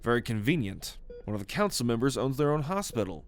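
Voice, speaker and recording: An actor playing an anxious, caring young brother, flustered and a little cheeky, in an audio roleplay. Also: male voice, medium pitch, very animated, quick pace, faint music behind. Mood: relieved, wry. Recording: clear background noise.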